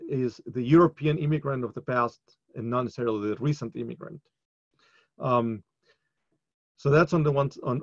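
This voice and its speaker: A man's voice: mid-range voice, gravelly, dry recording. A middle-aged man speaking as a panelist in an academic webinar, hesitant with many ums and restarts, on a video call from a home study. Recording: dull, dry video call audio.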